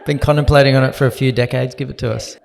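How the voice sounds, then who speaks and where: Gravelly male interviewer in an echoing hall. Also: mid-range voice, steady tone, fast talker. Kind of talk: live onstage conversation. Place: room with a live audience. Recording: reverberant hall with audience.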